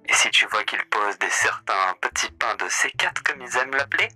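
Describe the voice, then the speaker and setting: Man, bright tense voice, theatrical roleplay host, smooth drawn-out hums, music behind. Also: fairly low pitch, expressive, low-quality recording. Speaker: roleplay player as hotel host. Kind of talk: in-character roleplay chat.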